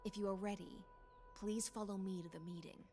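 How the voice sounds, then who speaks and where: A woman voicing a proud swordfish knight commander in a game, speaking in a formal, measured, courtly way. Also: mid-range voice, fairly even intonation, over music, natural voice.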